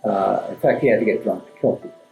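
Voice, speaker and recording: A man speaking as an interview guest, explaining and telling stories in a thoughtful, halting way with emphatic asides. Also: fairly low pitch, gravelly, darkish tone. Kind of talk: conversational storytelling and explaining in an interview. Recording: roomy echo, music underneath. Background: classical background music.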